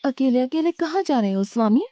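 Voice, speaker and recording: A woman plays an eager goddess wife in a devotional cartoon. Her voice sits high with a soft, warm tone. She has a sweet, coaxing manner. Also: lively intonation, a little fast, no room sound, highs cut off. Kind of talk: animated devotional story acting.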